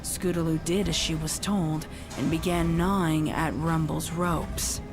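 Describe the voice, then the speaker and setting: Low-voiced woman narrating over loud music. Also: flat delivery, a little slow. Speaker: audiobook narrator of fan fiction. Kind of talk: dramatic scripted story narration.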